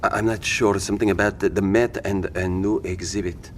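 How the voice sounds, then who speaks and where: An actor playing a kindly, flustered civilian man in an audio drama, with a hesitant, gentle, wordy manner. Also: deep voice, steady tone, fairly quick, slight background noise. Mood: hesitant, apologetic. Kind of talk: scripted audio drama acting.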